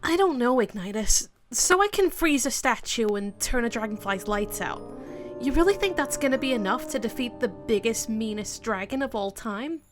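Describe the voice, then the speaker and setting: A woman's voice: on the high side, expressive, moderate pace, over music, lightly acted. A woman voicing a young male dragon hero who sounds earnest and unsure of himself. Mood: doubtful. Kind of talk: fan dramatic reading.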